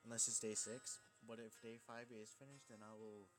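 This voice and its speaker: A man's voice: on the low side, little pitch movement, normal speed, over music. A man reading a visual novel aloud as a let's play host, informal and stumbling now and then, with casual asides.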